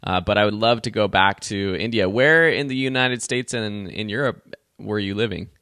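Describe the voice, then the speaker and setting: Low-voiced man asking interview questions. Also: moderate pace, bright, forceful tone, smooth, no echo, clean studio sound. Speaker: interview host.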